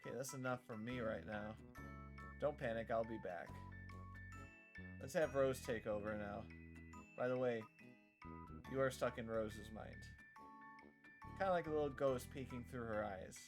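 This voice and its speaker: A man's voice: mid-range voice, normal speed, long pauses, natural voice. A chatty, opinionated schoolgirl narrator from a visual novel, acted by a man. The delivery is breezy and teasing and speaks straight to the listener, with light music underneath. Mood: perky, teasing. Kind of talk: visual novel character read-aloud.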